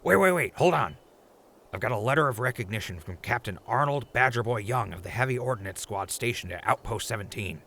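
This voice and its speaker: A man's voice: mid-range voice, expressive, a little fast, creaky, sharp tone, slight background noise. A man playing a wry, easygoing ghoul wanderer in a post-apocalyptic audio drama, talking casually and jokingly. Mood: urgent, pleading.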